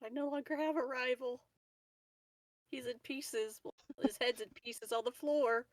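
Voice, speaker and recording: Brief: joking woman at the gaming table, slightly raised pitch. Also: a little slow, a crowd in the background, poor audio. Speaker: player. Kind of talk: casual tabletop game chat.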